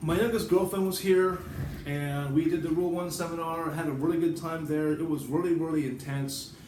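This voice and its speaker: A man's voice: on the high side, fairly even intonation, normal speed. An American man giving a casual, rambling vlog monologue, informal and digressive, with some swearing. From United States. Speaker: writer and vlogger. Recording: roomy echo, distant mic, background noise.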